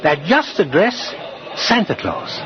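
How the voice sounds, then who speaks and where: A kindly old Santa figure in vintage radio drama, gently repeating himself in a bubbling way. His fairly high voice rises and falls freely over a muffled broadcast with audience noise. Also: big pitch swings, slow pace, crowd noise. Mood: tender, earnest. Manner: gentle, repetitive, bubbling delivery. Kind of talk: 1950s radio drama acting.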